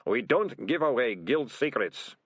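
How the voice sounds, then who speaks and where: A senior storybook rat-catcher put on by a man, gruff and quick to snap. He talks in slow, emphatic colloquial phrases with a husky tone and no room sound. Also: medium pitch, big pitch swings, bright, forceful tone, no echo, clean studio sound, pitched above the performer's own voice, heavily acted. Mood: snappish.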